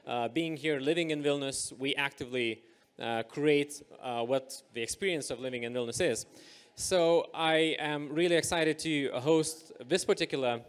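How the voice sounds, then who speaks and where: A man moderating a live panel discussion on stage, upbeat and energetic, with many filler sounds. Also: fairly high pitch, moderate pace, clear, resonant tone. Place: event stage.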